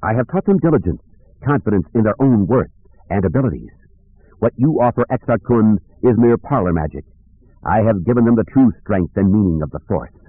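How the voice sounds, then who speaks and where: A confident, defiant mystic master, played by a male narrator. He speaks with firm certainty and lively intonation in a space-fantasy audiobook, through a narrow phone-like band. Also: on the low side, fairly quick, dry recording.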